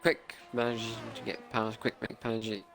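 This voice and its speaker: A man's voice: on the low side, level tone, fairly quick, fairly bright tone. A man giving casual live co-commentary on a sim race, often hesitant as he searches for words. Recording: faintly noisy stream microphone.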